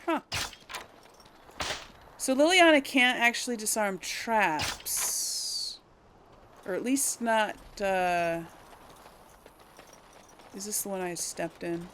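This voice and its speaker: A woman's voice: medium pitch, expressive, slow, long pauses, fairly bright tone, slight background noise. A woman giving casual, hesitant let's play commentary, thinking aloud to viewers as she plays. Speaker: host of a gaming let's play.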